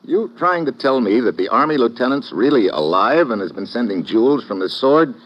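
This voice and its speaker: A wry hard-boiled agent. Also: male voice, medium pitch, sing-song, fairly quick. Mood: sardonic. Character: wisecracking tough government agent narrating his case. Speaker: narrator and lead agent. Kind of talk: 1950s radio drama acting. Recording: dull old radio recording with music.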